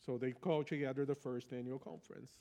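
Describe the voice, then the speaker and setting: A man's voice: mid-range voice, steady tone, quick pace, warm tone. A man from Brazil with a Brazilian Portuguese accent, a native Portuguese speaker, preaching a sermon in a reflective, conversational storytelling way. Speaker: pastor.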